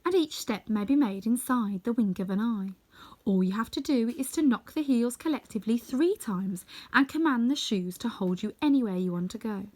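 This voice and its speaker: Regal, kindly good witch for a storybook, high voice, woman performing. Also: expressive, normal speed, darkish tone. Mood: instructive. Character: kind, regal good witch, calm and assured. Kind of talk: children's storybook read-aloud.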